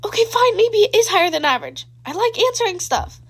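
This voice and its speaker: Chatty reckless teen boy played by a woman, high, eager and cheerful, for audio fan fiction. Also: sing-song, quick pace, a bit bright, heavily acted.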